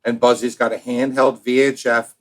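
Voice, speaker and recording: An American man chats as a podcast co-host in a medium-pitched voice. He is animated and a bit of a showman, switching between grand announcing and teasing banter. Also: normal speed. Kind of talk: casual, bantering podcast talk.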